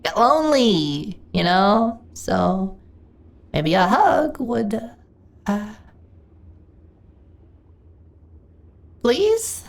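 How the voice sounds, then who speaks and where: Slime monster girl, female actor: lonely, hungry, easily flustered; very animated pitch, slow delivery, fantasy audio drama. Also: gravelly, exaggerated voice. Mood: nervous, pleading. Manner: theatrical, giggly, stammering when flustered. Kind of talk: fantasy audio roleplay addressed to the listener.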